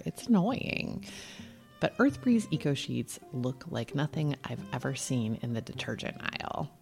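A woman's voice: low voice, vocal fry, darkish tone. A woman hosting a podcast, speaking in a casual, conversational way. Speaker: podcast host. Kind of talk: casual podcast chat and host-read ad.

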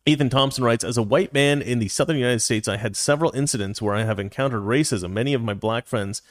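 A man's voice: mid-range voice, fast talker, no echo, studio quality. A man in his forties hosting a casual livestream, reading viewer comments aloud and talking in a rambling way with many restarts. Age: 40s. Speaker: livestream host reading chat.

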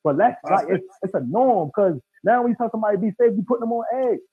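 A man's voice: sits high, expressive, quick pace, breathy edge, no echo. A man in casual chat, talking earnestly and emphatically, often saying bro. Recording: tinny phone-like sound, dry.

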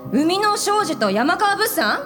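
Fierce, protective female office mentor, high and very animated, theatrical radio-play delivery, music playing behind. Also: takes it slowly, full character voice. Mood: firm, brisk. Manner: emphatic, theatrical, commanding. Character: fiery, protective senior businesswoman, mentor to a junior colleague. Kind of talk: radio drama acting before a live audience.

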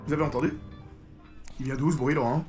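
A French actor playing a talkative, insistent friend in a naturalistic audio drama. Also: male voice, mid-range voice, steady tone, fast talker, over music, lightly acted. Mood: insistent, puzzled. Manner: insistent, chatty. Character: talkative, fixated male friend bothered by noise. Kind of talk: naturalistic audio fiction dialogue.

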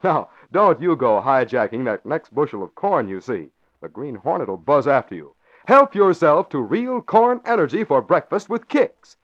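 A male announcer and narrator of an old radio show, delivering sponsor lines and narration in an emphatic, declamatory way. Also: on the high side. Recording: muffled old radio broadcast.

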